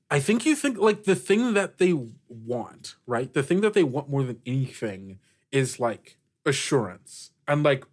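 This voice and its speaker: A man game-mastering a tabletop roleplay, talking informally and emphatically as he thinks aloud. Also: medium pitch. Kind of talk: casual tabletop roleplay discussion.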